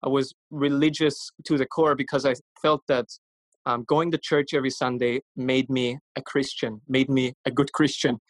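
Earnest young man from Austria, flat delivery. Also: medium pitch, normal speed, no echo. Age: 20s. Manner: earnest, warm-hearted storytelling with fillers. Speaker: podcast guest. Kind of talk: casual testimony.

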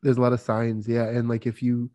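A man's voice: mid-range voice, completely flat delivery, fairly quick, mellow. A man co-hosting a podcast, talking casually in a rambling way, laughing often and filling with "like". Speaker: podcast co-host. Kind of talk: casual chat.

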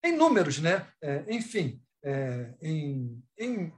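A man with a Brazilian accent speaking spontaneously as an academic in an online panel, halting and discursive, often rephrasing and adding tag questions. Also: on the high side, sing-song. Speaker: academic researcher and thesis supervisor.

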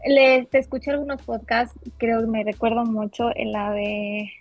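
A young woman from Mexico with a Mexican accent, talking as a guest on an interview podcast. Her voice is husky and sits a little high. She rambles warmly with fillers, laughs, and the recording is dull, with faint music behind. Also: little pitch movement, normal speed. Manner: chatty, laughs often, many fillers. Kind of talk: casual interview storytelling.

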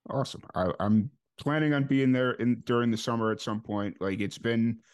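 A man's voice: mid-range voice, moderate pace, clean tone. Laid-back male podcast host. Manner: relaxed, rambling questions, frequent uhs and restarts. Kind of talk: casual interview chat.

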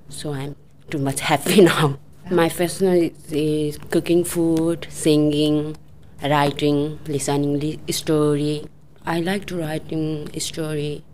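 A woman's voice: low-pitched, a little mellow, a little hiss or hum. A woman from Nepal, introducing herself hesitantly as an English learner. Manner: hesitant, with repetitions. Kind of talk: personal introduction.

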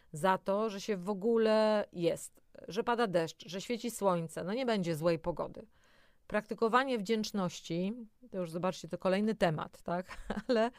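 A woman's voice: medium pitch, no echo, clean studio sound. A woman presenting a self-help talk in an encouraging way, speaking directly to the listener, partly from a script with spontaneous asides.

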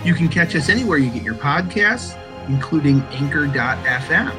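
A man's voice: a little high, animated, moderate pace, over music. A man hosting a podcast, interviewing guests in a hesitant way and often repeating words. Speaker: podcast host.

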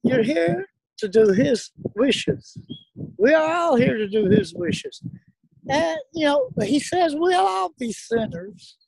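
A man's voice: very high-pitched, expressive, slow, gravelly, darkish tone, low-quality recording. A middle-aged man, speaking earnestly and emphatically as a guest. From South Dallas, Texas. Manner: earnest, emphatic, plain-spoken. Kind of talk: casual interview talk, preaching.

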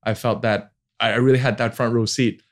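A man's voice: medium pitch, steady tone, fairly quick. A man in his forties from Hong Kong, talking casually as an interview guest about his career, with hesitant phrasing. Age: 40s. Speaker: podcast guest, venture capital founder.

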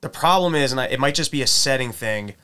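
Man, bright voice, crisp and clear, rambling casual talk, self-correcting. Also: lively intonation, fast talker. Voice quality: says his voice is high-pitched. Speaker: comedian recording his shows. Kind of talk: casual chat.

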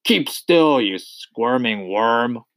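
A man's voice: medium pitch, expressive, drawn-out words, fairly bright tone, no room sound, heavily acted. Man putting on a bellowing, bullying giant headmistress. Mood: furious, bellowing. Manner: bellowing, barking, contemptuous. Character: huge bullying headmistress who despises small children.

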